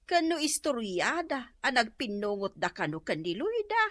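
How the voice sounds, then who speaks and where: A woman's voice: fairly high pitch, huge pitch swings, normal speed, fairly bright tone, no room sound, full character voice. A woman playing a nosy neighbor asking about gossip in a radio comedy drama. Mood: curious.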